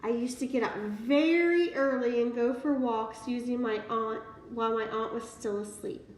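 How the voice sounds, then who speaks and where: A woman voicing a gentle young schoolteacher who talks in an earnest, confiding way in a storybook reading. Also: fairly high pitch, moderate pace, lightly acted. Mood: confiding, proud. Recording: roomy, distant mic, faint noise.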